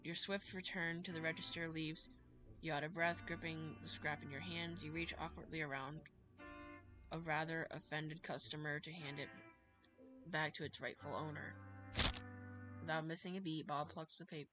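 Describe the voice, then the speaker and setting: A woman's voice: sits low, fairly even intonation, normal speed, rough recording. A woman livestreamer reading a visual novel aloud, narrating dramatically and breaking off into playful asides. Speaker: streamer. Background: prominent music with plucked guitar.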